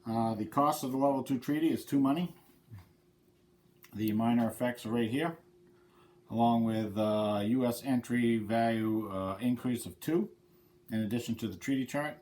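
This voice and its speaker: A man's voice: medium pitch, moderate pace, a little mellow. A man with a head cold hosting his channel, talking casually through a board game playthrough and explaining the rules step by step. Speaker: channel host.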